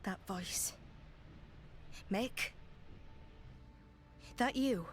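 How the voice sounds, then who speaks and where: An actress playing a gentle, sorrowful young woman in a video game cutscene, halting and emotional. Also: medium pitch. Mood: shaken, tentative. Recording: faint music under the voice.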